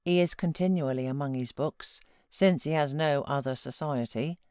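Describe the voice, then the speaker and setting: A woman voicing a sensible, unsympathetic female servant who answers her mistress in a measured, matter-of-fact way. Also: low-pitched, a little mellow, clear, resonant tone, no echo, clean studio sound, natural voice. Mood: cool, measured.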